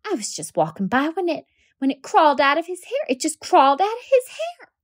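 Voice a flustered young teacher, woman reader, high, shaky, stammering, pitch swooping. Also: big pitch swings, normal speed, no echo, studio quality. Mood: frightened. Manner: nervous, stammering, high and breathless. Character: flustered young female schoolteacher.

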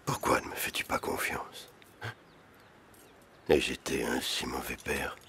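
An actor playing a stern, proud old Gaulish chieftain and father, solemn and exhorting in an animated historical drama. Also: a bass voice, a little slow, slight background noise, heavily acted. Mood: regretful, wounded. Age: older. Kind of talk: animated historical docudrama acting. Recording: music behind.

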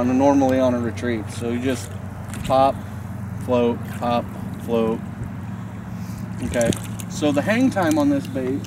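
A man in his thirties giving a casual, practical fishing lesson outdoors, explaining while he handles his gear. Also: mid-range voice. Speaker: fishing instructor. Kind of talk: casual outdoor instructional demo. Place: outdoors on a boat and a snowy riverbank. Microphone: camera mic from a few feet away. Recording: distant camera mic, faint outdoor noise.

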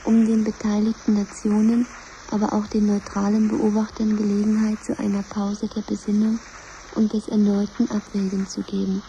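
Woman acting a bright, formal computer announcer. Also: mid-range voice, completely flat delivery, muffled recording, slight background noise, lightly acted. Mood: solemn, official. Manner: formal, measured, ceremonious. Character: official female computer voice of a crisis command centre. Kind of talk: 1970s radio drama, formal announcements.

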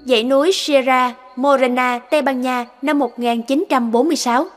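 A woman reading scripted narration to introduce an animated story. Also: high voice, brisk, faint music behind.

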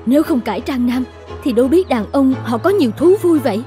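A woman's voice: sits high, expressive, fairly quick, dark tone, soft background music. A woman voicing a plucky young female soldier in a cartoon, spirited and matter-of-fact. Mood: gleeful. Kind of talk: cartoon dubbing.

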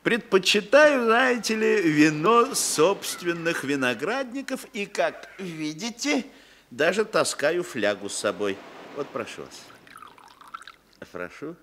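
A sly Sicilian landowner of about sixty in an old Soviet radio drama. He is lively and insinuating, hesitating and chatting genially with menace underneath. The recording carries faint noise. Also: on the high side, takes it slowly, full character voice. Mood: genial, veiled menace.

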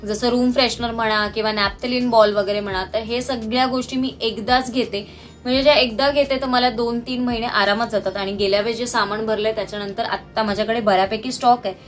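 A woman's voice: on the high side, fairly even intonation, brisk, faint music behind. A woman, chatting casually to viewers and explaining things item by item like a home vlogger. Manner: explanatory, itemising. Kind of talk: casual product haul chat to viewers. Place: small indoor room at home, seated on the floor.

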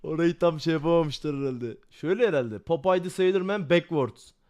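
A man's voice: a little high, fairly quick, a little mellow. A man with a Turkish accent giving casual, blunt reaction commentary full of slang, incredulous and exasperated. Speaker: reaction streamer.

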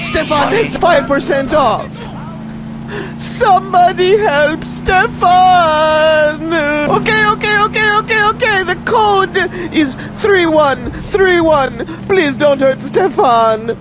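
Pampered crying hostage, video game. High, very slow, whiny pleading. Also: female voice, animated, low-quality recording. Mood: panicked pleading. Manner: whimpering, drawn out, dramatic. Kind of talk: video game cutscene acting. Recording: music and car noise behind.

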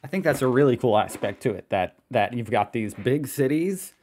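A man's voice: medium pitch, animated, moderate pace, darkish tone, slight background noise. A young American man hosting a reaction video, talking casually with rambling, self-questioning asides from a small room. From United States.

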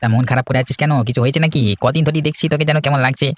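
A man's voice: fairly high pitch, fairly even intonation, very fast, natural voice. A man playing a concerned, friendly young pal in a cartoon, with an inquisitive manner. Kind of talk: cartoon voice acting, domestic moral story.

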